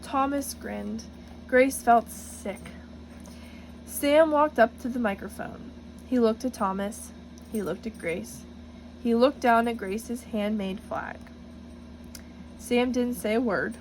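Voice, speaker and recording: A woman reading a picture book aloud to children in an encouraging, teacherly way, pausing to address them directly with questions. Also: fairly high pitch, darkish tone, a little hiss or hum, low-quality recording. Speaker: storybook reader for children, student teacher.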